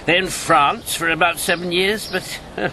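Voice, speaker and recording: A man's voice: high-pitched, steady tone, a little slow, pushed and bright, a little hiss or hum, full character voice, rough recording. A man acting in a radio drama as an old former secret agent, jovial and world-weary, with a theatrical, bantering manner. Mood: wry, reflective. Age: 70s.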